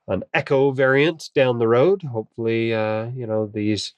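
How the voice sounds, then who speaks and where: A man's voice: lowish voice, no echo. A male podcast host with a cold that makes him sound a bit nasal, chatting in an upbeat, casual way with frequent "uh" fillers. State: has a cold, slightly nasal. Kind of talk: casual podcast monologue and interview intro.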